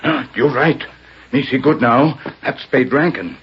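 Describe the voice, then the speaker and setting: Man acting as loyal western scout, clipped broken English, lively low voice, old muffled radio drama. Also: a little slow, lightly acted. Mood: steady, watchful. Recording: muffled, faintly noisy 1940s radio recording.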